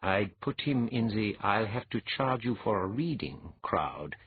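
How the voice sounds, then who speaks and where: Man doing dry wry bossy mentor type in an audiobook, husky, muffled phone-like audio. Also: on the low side, animated, unhurried, exaggerated voice. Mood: amused. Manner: dry, unruffled, instructive.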